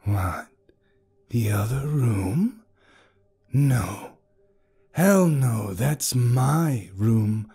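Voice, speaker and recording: A man voicing a gruff, surly woman who guards her own room. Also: mid-range voice, very animated, drawn-out words, pauses often, mellow, full character voice. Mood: snappish.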